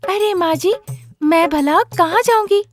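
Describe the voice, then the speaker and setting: A woman plays a fame-hungry young daughter-in-law in an animated family tale. The voice is very high, plaintive and excitable, with scheming eagerness in every line. Faint music plays underneath. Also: a little slow. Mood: defiant, sly. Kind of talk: animated moral-story character voice.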